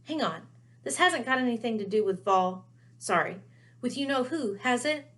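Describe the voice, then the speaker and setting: Storybook schoolboy wizard, played by a woman: raised pitch, earnest, bewildered, insistent, questioning. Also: animated, normal speed, a bit bright. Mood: puzzled.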